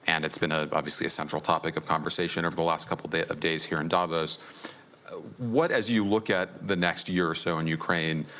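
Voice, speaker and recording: A man's voice: a bass voice, fairly even intonation, fairly quick, pushed and bright. A man moderating a conference panel, asking long, probing multi-part questions. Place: conference hall.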